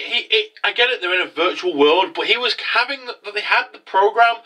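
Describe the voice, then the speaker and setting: Young male host, congested, crude and jokey, slightly high voice. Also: sharp tone, recorded from a distance, poor audio. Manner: profane, rambling, jokey. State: congested from a cold. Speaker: anime review host. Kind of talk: casual recap commentary.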